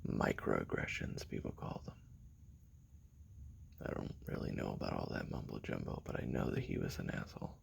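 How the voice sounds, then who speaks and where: A man playing a weary, bitter, regretful assassin in an audio drama, confiding a halting memoir in a gravelly, husky voice that drops toward whispers. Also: noisy background, heavily acted. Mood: bitter, brooding. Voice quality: very deep, gravelly, husky, often breathy. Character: weary, bitter, regretful male assassin recounting his youth. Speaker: voice actor in an audio roleplay. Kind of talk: confessional taped memoir monologue, audio drama.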